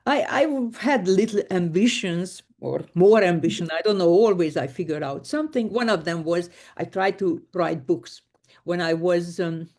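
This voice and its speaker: A woman from Hungary with a Hungarian accent, giving a keynote lecture over a video call, talking plainly with many hesitations. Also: lowish voice. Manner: plain, unhurried, with frequent hesitations and soft laughs. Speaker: keynote speaker. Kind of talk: lecture given remotely.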